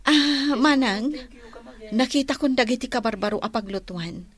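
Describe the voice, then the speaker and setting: A woman's voice: fairly high pitch, moderate pace, natural voice. A woman playing a confident young lady of a wealthy house in a Philippine radio drama. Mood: brisk, curious.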